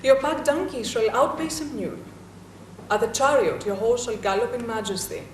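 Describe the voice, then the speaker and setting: A woman acts out a proud goddess, coaxing and seductive, declaiming grand promises in a high voice. She sounds set back from the mic in an echoey room with faint ambience behind. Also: normal speed, distant mic, a little hiss or hum, lightly acted. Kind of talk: theatrical dramatic reading.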